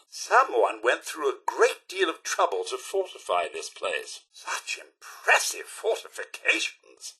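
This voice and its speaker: A man's voice: high voice, pushed and bright, breathy edge, heavily acted, rough recording. A man voicing a devoted, fussy British robot butler in a video game, speaking with formal, effusive, theatrical politeness. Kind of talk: video game character acting.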